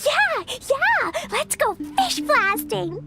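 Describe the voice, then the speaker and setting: A little girl, cheerful and impulsive, in an anime-style game. Her voice is extremely high and childlike, and she draws her words out slowly over prominent music. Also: very animated, exaggerated voice. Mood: gleeful. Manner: childlike, refers to self in third person. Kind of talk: anime-style video game character acting.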